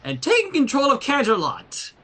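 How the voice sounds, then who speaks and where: A man's voice: very high-pitched, huge pitch swings, normal speed, sharp tone, voice pushed up, heavily acted. A man voicing a vain, indignant young female pony who is haughty, demanding and melodramatic in a storybook reading.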